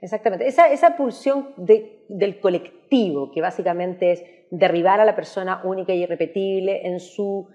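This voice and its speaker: A woman's voice: medium pitch, moderate pace, few pauses, warm tone. A woman hosting a political discussion, speaking emphatically and posing rhetorical questions. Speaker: host.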